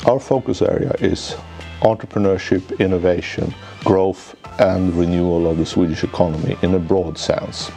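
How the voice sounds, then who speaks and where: Deep-voiced man, formal and measured. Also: fairly even intonation, vocal fry, dark tone. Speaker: managing director, economics professor. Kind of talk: scripted corporate presentation. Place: office lounge, indoors. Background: faint background music.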